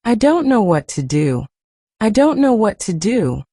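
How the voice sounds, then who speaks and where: Woman voicing a stamping, hot-tempered little girl, warm tone, lively pitch. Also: unhurried, no room sound, clean studio sound. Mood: frightened. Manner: stamping, near shouting.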